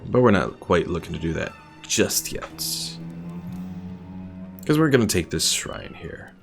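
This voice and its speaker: A man's voice: deep voice, sing-song, a little slow, vocal fry. A man giving casual commentary while streaming a strategy game, chatty and explaining his moves as he plays. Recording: voice over game audio with music. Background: game music.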